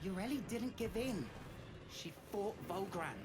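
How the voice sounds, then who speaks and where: Woman as a lively, scrappy street girl. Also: mid-range voice, measured pace, background noise, close to an everyday voice. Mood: earnest, hurt. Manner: slangy, punchy, emotive. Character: scrappy, defiant, slangy young street girl, fiercely loyal. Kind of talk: video game character acting. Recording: music under the voice.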